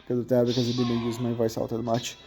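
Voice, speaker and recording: A man's voice: mid-range voice, monotone, quick pace, warm tone, soft background music. A young man with a thin voice chatting casually and rambling while commentating on his gameplay, often correcting himself mid-sentence.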